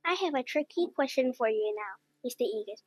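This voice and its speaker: A young student reading out interview questions in a polite, scripted way. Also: female voice, on the high side, lively intonation, brisk, dry recording, faint music behind.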